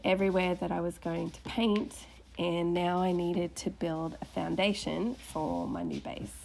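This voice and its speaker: A woman's voice: on the low side, creaky, noisy background. A woman from Australia with an Australian accent, chatting casually through a voice-over with frequent laughs. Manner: chatty, laughs often, self-deprecating. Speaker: furniture flipping creator.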